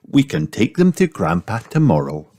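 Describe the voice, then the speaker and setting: A man voicing a gentle, encouraging mother pig in a children's storybook, kindly and reassuring. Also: mid-range voice, huge pitch swings, moderate pace, gravelly, slight background noise, pitched above the performer's own voice. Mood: approving.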